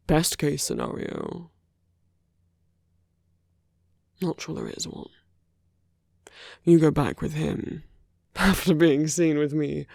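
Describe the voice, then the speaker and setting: A man playing a protective, quietly possessive villain in an intimate ASMR roleplay, speaking in a hushed, halting, deliberate way with murmured asides. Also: a little high, creaky, no echo, heavily acted. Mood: tense, persuasive. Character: smooth-talking male villain, protective, coaxing, quietly possessive.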